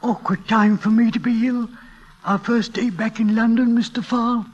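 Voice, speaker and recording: An actor playing a dying, devoted English manservant in a 1940s radio play, weak, halting and deferential. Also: male voice, extremely high pitch, level tone. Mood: feeble, apologetic. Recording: dull vintage radio recording.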